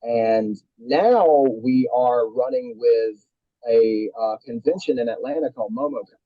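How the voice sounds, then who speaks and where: A man who works as a wrestling commentator, chatting as a guest in quick, enthusiastic bursts. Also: mid-range voice, dry recording, lo-fi sound. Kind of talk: casual chat.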